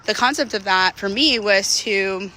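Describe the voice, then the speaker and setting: A woman's voice: mid-range voice, a little slow, sharp tone, a little hiss or hum. A woman in her thirties talking in a candid, rambling, slangy way, as in a casual confessional walking vlog. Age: 30s. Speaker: vlogger.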